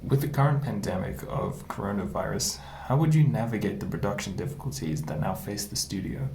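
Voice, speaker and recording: A man's voice: on the low side, animated, a little fast, gravelly, warm tone, reverberant, a little hiss or hum. A man playing the interviewer in a practice job interview, asking prepared questions in a formal way.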